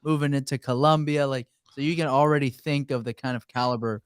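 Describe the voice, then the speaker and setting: A man's voice: mid-range voice, steady tone, fairly quick, smooth, no room sound, studio quality. A man hosting a casual interview, speaking in an enthusiastic, slangy, bro-like way. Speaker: interview host.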